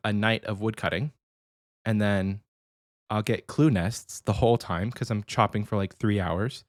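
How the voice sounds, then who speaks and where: A man's voice: fairly low pitch, dry recording, studio quality. A man in his thirties co-hosting a podcast, chatting casually and rambling a little, sounding slightly nasal from a cold. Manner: rambling, easygoing, many restarts. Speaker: podcast co-host.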